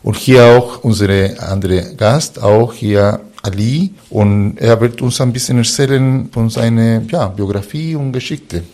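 A man's voice: mid-range voice, measured pace, hardly any pauses, a little mellow. A man hosting a podcast and welcoming guests, speaking carefully with small grammar slips. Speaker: podcast host.

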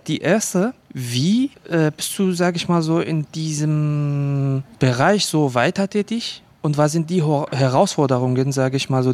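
A man's voice: a little high, darkish tone. A man hosting a radio interview, asking friendly questions with small restarts and fillers. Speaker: host.

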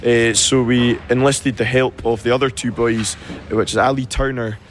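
A man in his twenties from the Scottish Highlands, a Gaelic native speaker with a Highland accent, answering interview questions about his band in a friendly, halting way full of ums and restarts. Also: level tone, slight background noise. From Scotland. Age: 20s. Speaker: band singer.

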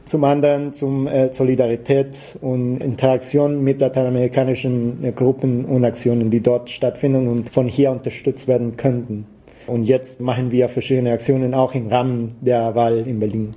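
A man from Costa Rica, a native Spanish speaker with a Latin American accent, answering interview questions as an activist in a halting way, searching for words. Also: medium pitch, flat delivery, mellow.

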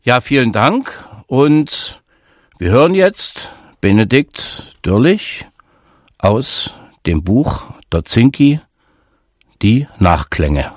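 Slow, calm male radio host. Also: mid-range voice, dry recording, studio quality. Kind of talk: radio interview hosting. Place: radio studio.

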